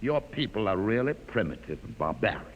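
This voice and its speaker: An actor playing a courteous, shrewd mutant leader in a 1950s radio drama, formal and measured with a dry irony. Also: male voice, on the low side, big pitch swings, slight background noise. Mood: proud, composed. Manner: formal, measured, dryly ironic. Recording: dull 1950s radio recording, long reverb.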